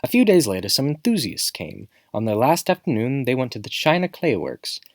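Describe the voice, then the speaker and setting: A man's voice: medium pitch, big pitch swings, fairly quick, no echo, studio quality. A man narrating a scripted children's story in a theatrical, emphatic storytelling manner. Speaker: storybook reader. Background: music.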